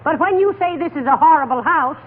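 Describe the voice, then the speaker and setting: An actress playing a sharp-tongued, dryly wisecracking housewife in a 1940s radio comedy. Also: female voice, high voice, expressive, a little fast, narrow, tinny audio. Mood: indignant, wry. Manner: dry, scolding, wisecracking. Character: sharp-tongued, wry housewife hostess with frayed patience. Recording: thin, noisy 1940s radio recording.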